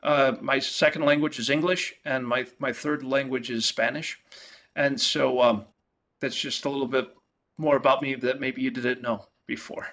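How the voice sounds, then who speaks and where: A man from New York with a New York accent, whose first language is Italian, giving a halting virtual-class lecture as an economics professor, full of fillers and restarts. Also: medium pitch, steady tone, a bit bright. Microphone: video call.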